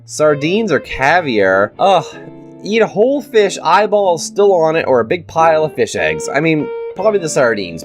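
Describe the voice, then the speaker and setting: A YouTuber with a stuffed-up nose, casually reading quiz questions aloud and giving chatty, opinionated answers. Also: mid-range voice. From Canada. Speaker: YouTuber host.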